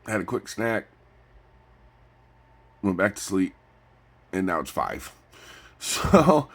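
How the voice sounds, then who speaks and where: A man with a stuffy nose chats casually in a personal vlog, rambling and halting, often repeating his phrases. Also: deep voice. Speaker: vlogger. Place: recorded lying down.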